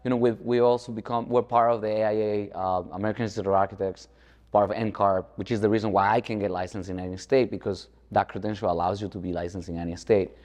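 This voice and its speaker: A man from the Dominican Republic speaks as an interview guest in a fairly low voice. His delivery is conversational and informal, with frequent check-ins to the listener. The recording carries a hall-like reverberation. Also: steady tone, fast, darkish tone. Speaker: architect, guest.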